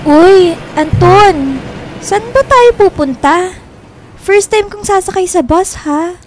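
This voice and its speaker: A woman's voice: extremely high pitch, slow pace, a little hiss or hum, full character voice. An actress with a Filipino accent playing a playful, teasing woman in her twenties in a radio romance drama. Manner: giggly, teasing, expressive. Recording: music behind the voice. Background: background music.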